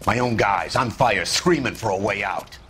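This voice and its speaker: An actor playing a blunt, bitter, middle-aged American police detective in a dramatic video game. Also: male voice, fairly low pitch, normal speed, fairly bright tone, a little hiss or hum, natural voice. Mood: grim, bitter. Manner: blunt, bitter, tough-talking. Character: gruff, world-weary, profane veteran male police detective.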